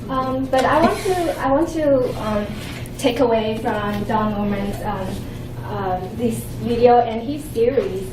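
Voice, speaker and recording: Earnest young woman with a Chinese accent. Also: fairly high pitch. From Shanghai, China. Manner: earnest, halting with restarts. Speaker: conference presenter. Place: conference room. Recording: echoey room from far off with hum.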